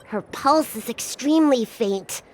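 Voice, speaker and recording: A woman playing a chatty, excitable young girl healer in a video game, kind and self-assured. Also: a little high, unhurried. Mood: flustered. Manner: exclamatory, flustered, chatty.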